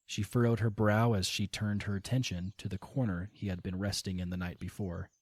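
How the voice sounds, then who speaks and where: A man narrating an audio drama in a steady, measured reading style. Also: fairly low pitch, slightly rough, no room sound.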